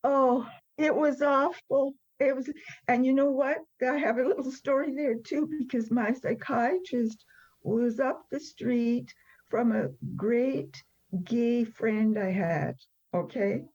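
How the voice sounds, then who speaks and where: A woman's voice: a little high, fairly even intonation, takes it slowly, darkish tone. A woman in her seventies telling personal stories as an interview guest, conversationally, in a candid, wry and emphatic way. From born in France. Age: 70s. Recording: dull, lo-fi sound.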